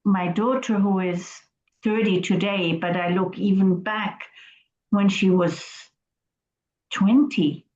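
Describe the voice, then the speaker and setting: A woman's voice: mid-range voice, steady tone, slow pace, darkish tone. Hesitant woman on a roomy, dull video call. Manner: hesitant, reflective, restarts words. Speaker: class participant.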